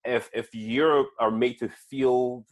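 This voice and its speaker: A man's voice: mid-range voice, fairly even intonation, slow pace. A man with a non-native English accent, hosting a casual conversation, often repeating words and checking in with "right?". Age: young kids, adult. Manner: frequent restarts and "right?" tags. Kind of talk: conversational interview.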